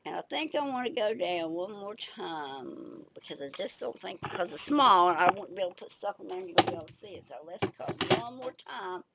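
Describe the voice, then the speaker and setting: Older woman host, slightly under the weather, raspy and gravelly, with a low voice and a chatty, unhurried tutorial manner. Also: expressive, noisy background. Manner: chatty, thinks aloud while working. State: slight head cold, raspy. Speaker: craft video host. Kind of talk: casual crafting tutorial.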